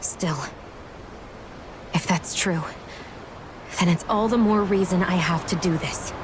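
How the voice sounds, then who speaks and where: A woman's voice: on the low side, takes it slowly, background noise. An actress playing a guarded, troubled young woman in a video game cutscene. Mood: grimly resolved. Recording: music behind the voice.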